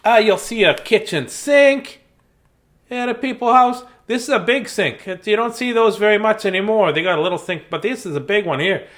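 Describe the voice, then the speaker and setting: A man's voice: high voice, moderate pace, fairly bright tone. A man voicing a cheery, chatty male mouse who shows off a house in sing-song rhymes for a children's storybook. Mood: chirpy. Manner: sing-song rhyming, tour-guide patter. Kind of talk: children's storybook read-aloud.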